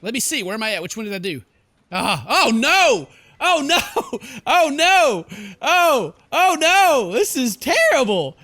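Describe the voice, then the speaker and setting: A man's voice: very high-pitched, sing-song, measured pace, bright, forceful tone, dry recording. A man hosting a horror game let's play, reading the story aloud and reacting in a theatrical, exclamatory way. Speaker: gaming video host.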